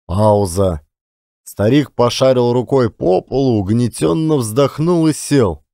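Expressive male audiobook narrator, dry recording. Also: mid-range voice, a little slow, vocal fry, dry recording. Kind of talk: dramatic literary narration.

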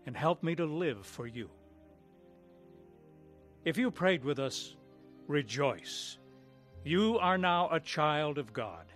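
American guy announcer, slightly high voice, solemn scripted prayer and appeal, bit of music behind. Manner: earnest, solemn. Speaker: program announcer.

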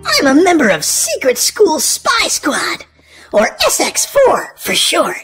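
Female children's story host, extremely high voice, hugely varied sing-song delivery, music underneath. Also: wildly swooping pitch, slow, a bit bright. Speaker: host and reader of a children's read-aloud show. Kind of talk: animated children's storybook narration.

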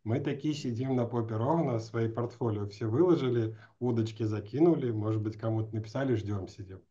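A man's voice: mid-range voice, a little fast, dark tone. A middle-aged man hosting a casual interview over a video call. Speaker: interview host. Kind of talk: casual chat. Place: small room indoors, at a desk. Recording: slightly dull video-call audio.

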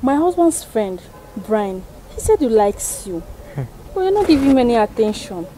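A woman's voice: a little high, big pitch swings, normal speed, mellow, a little hiss or hum, low-quality recording. A married woman acting in a skit as a friend who gives persuasive advice. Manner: confiding, persuasive, then apologetic.

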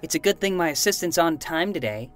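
A woman's voice: lowish voice, animated, brisk, soft background music, natural voice. A woman voicing an earnest, bossy twelve-year-old boy who talks like a melodramatic hard-boiled detective in a fiction podcast. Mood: deadpan inquisitive.

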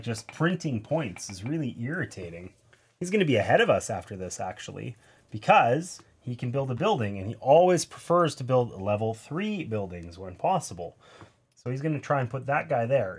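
A man's voice: medium pitch, slightly rough. A man in his thirties with a nasal voice, casually talking through a board game play-through from a gaming table indoors, explaining each move with wry asides.

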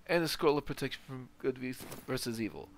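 Man, casual game commentary, unhurried explaining, medium pitch, faint background game noise. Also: expressive, creaky. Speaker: let's player. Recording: game ambience noise behind voice. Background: video game ambience.

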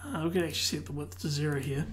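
A tutor losing their voice who keeps clearing their throat, calmly explaining a software tutorial one step at a time. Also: mid-range voice, rapid-fire, background noise. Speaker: web design tutor. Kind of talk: screen-recorded software tutorial.

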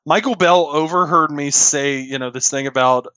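A man with a loud voice telling anecdotes in casual, excitable chat as a podcast guest. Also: fairly high pitch, normal speed, no echo. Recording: dull, lo-fi microphone.

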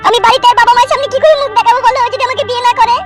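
Very high-pitched, whiny cartoon young woman. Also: steady tone, very fast. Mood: tearful, pleading. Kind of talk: comedy cartoon dialogue. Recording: loud music under the voice.

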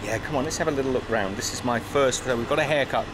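A man's voice: mid-range voice, fast talker. A man in his fifties chatting upbeat while vlogging his travels, filmed on a handheld camera on a sunny street and in a shop. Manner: chatty, upbeat, thinking aloud. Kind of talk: casual travel vlog. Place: outdoors on a sunny street and in a supermarket. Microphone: action camera held at arm's length. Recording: street noise behind.

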